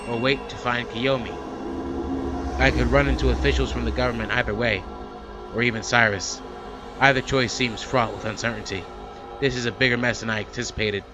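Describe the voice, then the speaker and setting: Man narrating a game over music. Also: mid-range voice. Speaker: let's play host. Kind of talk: reading a visual novel aloud with casual commentary.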